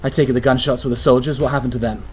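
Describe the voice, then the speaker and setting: A man's voice: mid-range voice, steady tone, rapid-fire, soft background music, lightly acted. A man playing an urgent, defiant time-travelling hero in an audio drama.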